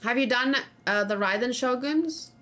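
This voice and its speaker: High-voiced woman chatting through a game stream. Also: normal speed, fairly bright tone, soft background music. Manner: thinking aloud, informal, talking to chat. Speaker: video game streamer, let's play host. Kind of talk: casual live game commentary, chatting with viewers.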